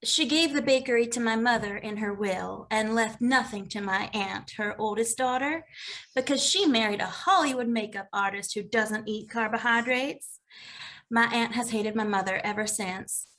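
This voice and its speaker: A woman reading an earnest, grieving woman client in an animated comedy mystery table read. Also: a little high, normal speed. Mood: matter-of-fact.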